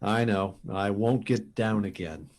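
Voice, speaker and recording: A man's voice: on the low side, a little slow, a little mellow, close to an everyday voice. A man playing a cocky, wisecracking rocket test pilot in a 1950s radio drama style. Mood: breezy, confident. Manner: breezy, wry.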